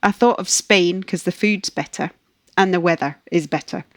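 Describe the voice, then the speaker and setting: A woman's voice: on the low side, moderate pace, dry recording, studio quality. A woman in her fifties from Scotland with a Scottish accent, answering interview questions in a chatty, self-deprecating way. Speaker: guest ecologist.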